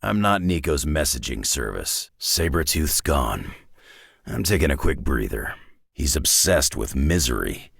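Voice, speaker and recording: An actor playing a gruff, sardonic, battle-hardened male superhero in a video game, growling tough-guy slang in a raspy North American accent. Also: sing-song, unhurried, fairly bright tone, dry recording, clean studio sound, exaggerated voice. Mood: gruff, wry. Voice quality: very deep, gravelly, raspy growl. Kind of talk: video game character voice lines.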